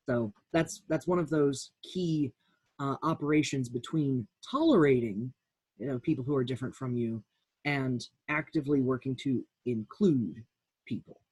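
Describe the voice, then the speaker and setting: A man with a husky, slightly rough voice at a middling pitch speaks as a panelist. He shares personal experience candidly, pausing as he thinks aloud. The sound is dry and close, with little room around it. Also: a little mellow, no echo, rough recording. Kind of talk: candid personal account in a roundtable.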